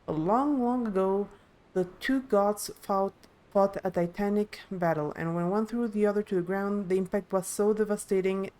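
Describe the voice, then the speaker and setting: A woman's voice: mid-range voice, moderate pace, dark tone, natural voice. A woman voicing a proud, eager young princess who guides visitors in a video game, earnest and explanatory. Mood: storytelling. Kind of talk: live video game dialogue reading.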